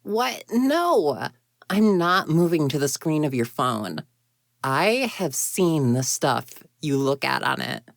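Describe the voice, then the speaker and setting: A woman's voice: low-pitched, sing-song, takes it slowly, vocal fry, no echo, clean studio sound, heavily acted. A woman voicing a grumpy, proud young female ghost in an intimate roleplay audio drama, huffy and easily flustered. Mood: flustered, embarrassed. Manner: huffy, indignant, flustered stammers, conversational.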